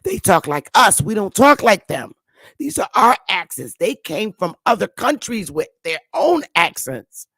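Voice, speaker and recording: Preachy woman host explaining stuff, slows way down to stress words, mid voice, dead dry room. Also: mid-range voice, big pitch swings, fairly bright tone, slightly rough, no echo. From northern United States. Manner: emphatic, preachy, with slow drawn-out stresses. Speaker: online talk show host. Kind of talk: casual teaching monologue.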